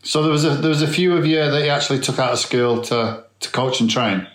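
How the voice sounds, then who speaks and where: A man's voice: mid-range voice, quick pace, fairly bright tone, echoey room, low-quality recording. A man hosting a casual interview podcast, friendly and encouraging toward his guest. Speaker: podcast host.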